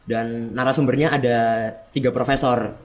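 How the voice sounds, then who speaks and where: A man's voice: mid-range voice, moderate pace. Javanese-accented teenage boy explaining. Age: teens. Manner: explains at length, relaxed. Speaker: student guest. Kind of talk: casual podcast chat.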